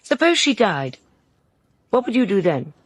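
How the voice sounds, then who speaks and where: A woman acting in a radio drama as a bitter servant, blunt, pointed and quietly menacing. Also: medium pitch, very animated, unhurried, slightly rough, dry recording.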